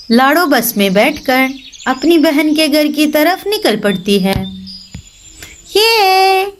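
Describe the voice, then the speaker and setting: A woman's voice: a little high, slight background noise. A woman narrating a children's animal story as scripted cartoon narration.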